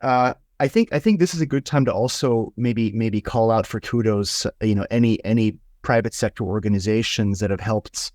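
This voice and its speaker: A man's voice: on the low side, a little mellow, dry recording, clean studio sound. A Swiss man with a Swiss accent, hosting an interview and asking long questions, often repeating words as he thinks. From Switzerland. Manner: repeats words often while thinking, stacked stammered starts. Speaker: podcast host, interviewer.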